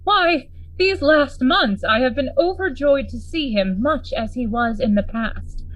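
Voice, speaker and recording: A woman playing a formal, worried gentleman lawyer and loyal friend in a gothic radio drama. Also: sits high, animated, a little slow, no echo. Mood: troubled, reflective. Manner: formal, earnest, theatrical. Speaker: actor in a radio play.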